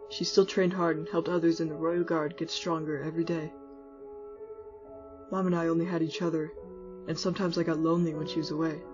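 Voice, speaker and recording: An actor plays a laid-back skeleton guy narrating a cartoon comic dub as a wistful older brother. He sounds casual and wry, with music clearly behind a slightly dull recording. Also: medium pitch, natural voice. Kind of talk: scripted first-person storytelling in a comic dub. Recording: muffled audio with music underneath.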